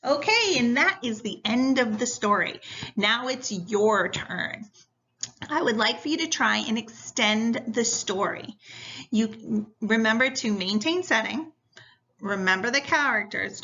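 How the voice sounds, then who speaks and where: A woman's voice: on the high side, lively intonation, measured pace, sharp tone, muffled recording. A woman reading a story aloud like a teacher, addressing young listeners directly in an instructive way.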